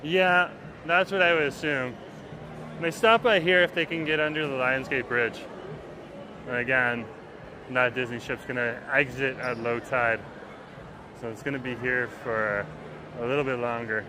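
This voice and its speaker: A man's voice: medium pitch, steady tone, measured pace. A man giving a casual, rambling walking-tour commentary on a livestream, outdoors at a busy waterfront festival. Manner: rambling, chatty, reads viewer comments aloud. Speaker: livestream vlogger. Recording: loud crowd noise outdoors. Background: festival crowd chatter.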